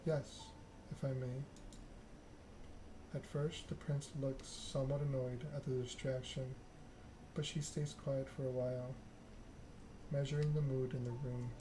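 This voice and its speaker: Man, ASMR story game reader, soft, measured, flat intonation, noisy recording. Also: medium pitch. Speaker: video game let's-player reading the game aloud. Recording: clear background noise.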